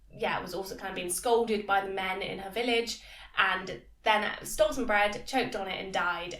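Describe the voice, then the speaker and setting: A woman's voice: mid-range voice, a bit bright. A woman with a slightly nasal voice from a cold, chattily giving a casual episode review as a video host. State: has a cold. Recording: echoey room, distant microphone.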